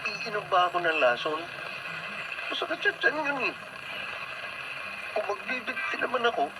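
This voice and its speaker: An actor playing a petulant, childish young man in a radio drama, whiny and melodramatic. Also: fairly high pitch. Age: young adult. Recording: noisy radio drama with clear background noise.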